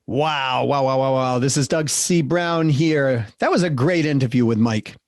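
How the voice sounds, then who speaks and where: A man's voice: mid-range voice, unhurried, dry recording, studio quality. A middle-aged man with a Boston accent hosting a business podcast, upbeat and emphatic, speeding up in bursts. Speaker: podcast host. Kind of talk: interview hosting and wrap-up.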